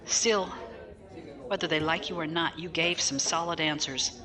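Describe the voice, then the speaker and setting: A woman plays a no-nonsense military defense lawyer in a sci-fi audio drama. Her voice is on the low side, clipped, dry and blunt. A clear murmur of people sits behind her. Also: measured pace, a crowd in the background. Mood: frank, businesslike.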